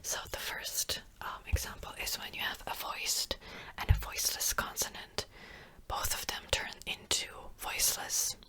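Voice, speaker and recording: Woman, soft close-mic whisper, bright airy tone, unhurried, patient teacher, repeats each word. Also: low-quality recording. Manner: soft whisper, gentle, explanatory, repeats words slowly. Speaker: language teacher. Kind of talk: whispered ASMR language lesson.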